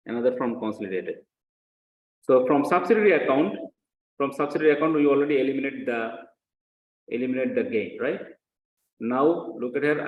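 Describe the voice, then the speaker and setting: Male teacher, mid pitch, repetitive step-by-step delivery with check questions; muffled, roomy recording. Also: a little hiss or hum. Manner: repeats phrases, asks short check questions. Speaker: accounting lecturer. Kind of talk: teaching lecture with worked example. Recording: echoey room, dull muffled sound.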